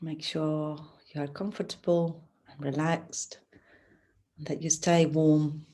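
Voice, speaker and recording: Woman, yoga teacher, lowish voice, very slow delivery, gentle, soothing guided relaxation. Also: little pitch movement. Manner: gentle, unhurried, soothing guidance.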